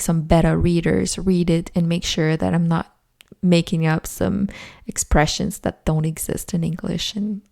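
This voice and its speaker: A woman's voice: lowish voice, creaky, mellow. A young adult woman with a French Canadian accent, a native French speaker, hosting her own podcast in an earnest, chatty way from her home office, with many "like" fillers. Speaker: podcast host. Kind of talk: solo podcast monologue.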